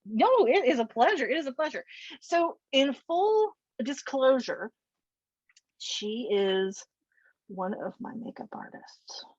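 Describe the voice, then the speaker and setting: A woman's voice: sits high, sing-song, takes it slowly, darkish tone, slightly rough, dry recording, muffled recording. A woman hosting an interview show who introduces her guest in an effusive, rambling way. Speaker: show host.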